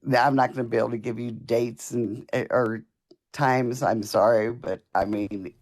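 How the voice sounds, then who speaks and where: A woman in her sixties with a low voice, a stubborn, defensive caller arguing on a call-in show. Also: fairly even intonation, normal speed, a little mellow. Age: 60s. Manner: stubborn, defensive, talks over the host. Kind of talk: call-in argument.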